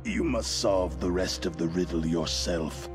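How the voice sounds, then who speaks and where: An actor playing a menacing, cryptic mystic villain in a video game, taunting in a theatrical, portentous manner. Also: male voice, low voice, measured pace, music in the background. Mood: ominous. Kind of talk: dramatic video game voice acting.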